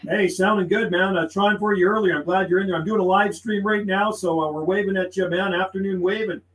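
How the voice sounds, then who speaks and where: A man's voice: sits high, fairly even intonation, fast, fairly bright tone, faint music behind. A man chatting casually as a CB radio operator on a livestream, folksy and repetitive, using radio slang. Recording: roomy sound with faint background noise.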